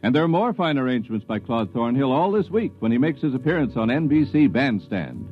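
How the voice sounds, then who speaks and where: A man's voice: medium pitch, big pitch swings, a little fast. A man reading a scripted 1950s radio promo with a polished salesman's delivery. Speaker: network promo announcer. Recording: muffled old radio broadcast, music behind.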